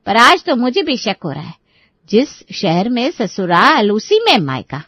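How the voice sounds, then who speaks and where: A woman's voice: on the high side, wildly swooping pitch, unhurried, fairly bright tone, slightly rough, dry recording, studio quality, voice pushed down, exaggerated voice. Woman putting on a sneering, suspicious mother-in-law. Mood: suspicious, accusing. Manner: sly, accusing, sneering. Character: suspicious, taunting mother-in-law, middle-aged or older. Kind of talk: dramatized Hindi moral story.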